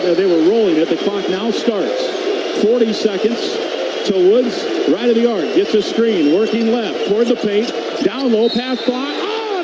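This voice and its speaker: A man giving live radio-style basketball play-by-play as a commentator, with noisy arena ambience behind him. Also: high voice. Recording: clear background noise.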